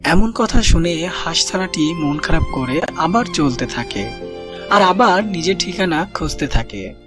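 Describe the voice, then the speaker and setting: A storyteller narrates a children's cartoon tale in a mid-pitched voice. Music plays clearly behind the scripted reading. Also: lively intonation, a little slow.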